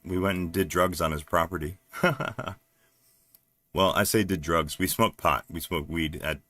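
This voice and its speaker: A man from New Jersey with a deep voice, chatting casually and haltingly on a live stream while he works at his computer, with lots of fillers. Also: fairly even intonation, pushed and bright. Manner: rambling, halting, many fillers, laughs often. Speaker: livestreaming developer.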